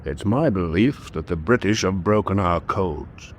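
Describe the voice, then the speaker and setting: A man's voice: low-pitched, expressive, measured pace, a little hiss or hum. An actor playing a worldly, genial German naval captain in a wartime radio drama, urbane and expansive in his talk. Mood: serious, confiding.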